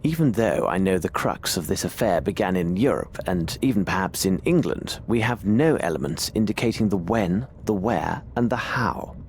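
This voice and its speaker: A man playing a shrewd, composed Victorian detective in a video game, speaking in formal, deliberate phrases. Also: sits low, expressive, darkish tone, a little hiss or hum. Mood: grave, resolute. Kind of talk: video game dramatic acting.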